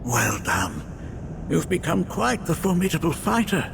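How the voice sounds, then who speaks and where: An actor playing a wise old village elder in a video game, a grave but gentle mentor who speaks in formal, archaic, theatrical phrases. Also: male voice, a little high, wildly swooping pitch, measured pace, creaky, noisy background, full character voice. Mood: solemn. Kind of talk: video game cutscene voice acting. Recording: loud music behind the voice.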